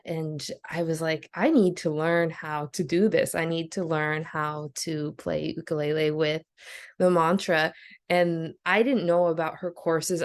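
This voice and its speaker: A woman's voice: on the low side, smooth. A woman telling a personal story on a video call, earnest and a little hesitant. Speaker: course participant.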